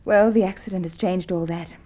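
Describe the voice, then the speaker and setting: An actress playing a trusting, polite young wife in an old-time radio crime drama, speaking earnestly. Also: female voice, on the low side, fairly even intonation, brisk, dark tone, natural voice. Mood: earnest, bright. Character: trusting, polite newlywed wife, bewildered by tragedy.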